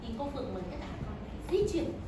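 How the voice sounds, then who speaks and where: A woman's voice: high-pitched, big pitch swings, rapid-fire, background noise. A woman preschool teacher, speaking expressively to small children and narrating a storybook tale. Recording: echoey room, distant mic, music.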